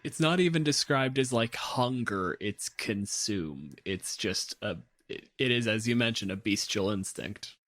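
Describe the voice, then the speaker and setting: A man's voice: lowish voice, animated, measured pace, hardly any pauses, a bit bright. A man hosting a podcast, talking casually through ideas in a loose discussion. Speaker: podcast host.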